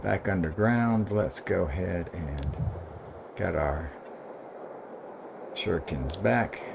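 A man with a gravelly voice giving casual commentary as he plays through a video game. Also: low-pitched, slow, very noisy. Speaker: gamer narrating a playthrough. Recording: game music behind the voice. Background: video game music.

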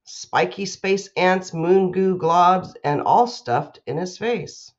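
A woman's voice: on the low side, slow pace, highs cut off. A woman, a school principal reading aloud and making announcements to children.

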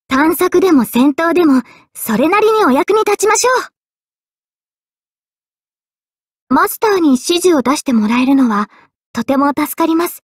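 A woman playing an earnest, polite, quietly self-deprecating young female mage in expressive anime game voice lines. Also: high-pitched, no echo, clean studio sound. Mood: polite, friendly. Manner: polite, expressive, shifting between excited and subdued.

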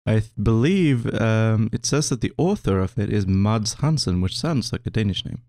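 A man's voice: lowish voice, lively intonation, moderate pace, darkish tone, no room sound, studio quality. A young Swedish man co-hosting a podcast in casual chat, speaking hesitantly with many restarts. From Sweden. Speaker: podcast co-host.

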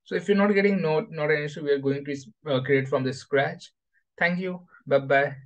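A man hosting an informal screen-share tutorial, talking in a halting way and repeating his phrases. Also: fairly high pitch. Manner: halting, repetitive phrasing with frequent "you know". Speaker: host of a coding tutorial channel. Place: voice-over for a screen recording.

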